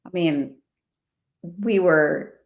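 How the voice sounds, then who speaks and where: Low-voiced woman who laughs often. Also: extremely slow. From Washington, D.C. area. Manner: laughs often while talking, many restarts. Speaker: guest, real estate investor. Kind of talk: casual interview, telling her life story.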